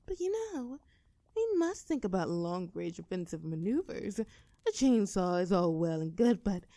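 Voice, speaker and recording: A gleefully cruel female mad scientist in a horror audio roleplay, recorded close and dry. Her pitch swoops dramatically as she savors formal, precise phrases. She takes her time, stretching words out with theatrical menace. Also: mellow, no room sound. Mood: scheming, musing.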